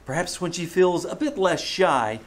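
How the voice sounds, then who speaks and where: A man's voice: high voice, lively intonation, moderate pace. Man acting a tender, courtly young prince, swooping intonation. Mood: cheerful, welcoming. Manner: gentle and courtly, with hesitant, stammered restarts. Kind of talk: old-time radio drama acting, live stage performance.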